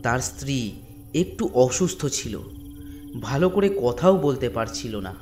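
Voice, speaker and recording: A man narrating a scripted folk ghost story in measured, short phrases. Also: mid-range voice, expressive, darkish tone, faint music behind.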